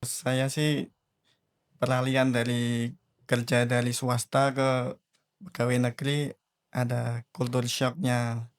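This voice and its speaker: Flat-voiced young Javanese-accented man. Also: medium pitch, slow, long pauses, smooth, no room sound, clean studio sound. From Java. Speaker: new civil servant guest. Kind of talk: casual interview answers.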